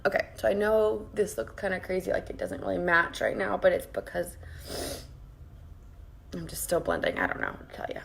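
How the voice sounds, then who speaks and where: A woman with a raspy voice, chatting casually with her viewers while she does her makeup at her vanity at home. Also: lowish voice, very animated, a little fast. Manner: chatty, greets viewers by name and rambles while working. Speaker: makeup vlogger. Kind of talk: casual live-streamed makeup tutorial. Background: faint background music.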